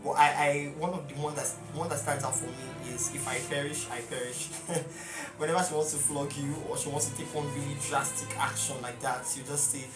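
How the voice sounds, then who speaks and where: A man's voice: a little high, little pitch movement, fairly quick, few pauses. A young man with a Nigerian accent, earnestly recalling family memories in an interview. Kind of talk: reflective interview answers. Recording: roomy echo, distant mic, loud music behind.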